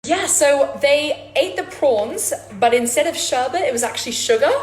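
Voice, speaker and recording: A woman's voice: high voice, expressive, moderate pace, echoey room, a little hiss or hum. Chatty teen girl with a British accent. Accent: mostly British, sometimes American. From born in New York, raised in London. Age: teens. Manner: chatty, repeats "really, really", light giggles. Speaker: young actress answering fan questions. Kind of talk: casual vlog Q&A. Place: her home kitchen, indoors.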